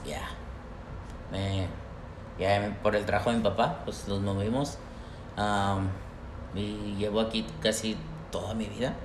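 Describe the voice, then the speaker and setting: A young man from the United States with a Mexican-American Spanglish accent, co-hosting a casual podcast in halting Spanish full of fillers and English words. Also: lowish voice, flat delivery. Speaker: podcast co-host. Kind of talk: casual bilingual chat. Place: workplace. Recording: roomy echo, faint background noise.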